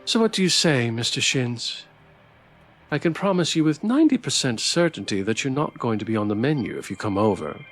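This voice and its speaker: A man's voice: mid-range voice, big pitch swings, brisk, a bit bright, faint music behind. A man voicing a suave, affectionate female horror in human guise in a visual novel, with a teasing manner. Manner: teasing, calls people darling.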